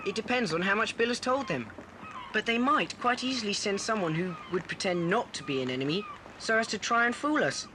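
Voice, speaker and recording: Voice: mid-range voice, expressive, a little fast, lightly acted. A performer playing a sensible, reassuring older boy in a children's adventure radio play. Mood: alert, reasoning. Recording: noisy old cassette transfer.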